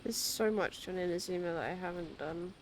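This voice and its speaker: A woman's voice: on the low side, a little fast, low-quality recording. Casual woman streamer over game noise. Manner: casual muttering to self. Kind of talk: casual live gaming commentary. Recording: livestream with clear game noise.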